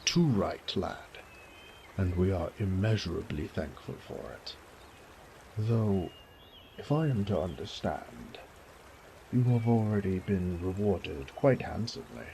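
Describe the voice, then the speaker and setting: Elderly noble father, doting, genteel, effusive, slow, very animated pitch, audio drama. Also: gravelly, a little hiss or hum, heavily acted. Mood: jovial, courteous. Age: older. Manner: effusive, genteel, old-fashioned phrasing. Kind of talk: audio roleplay drama acting.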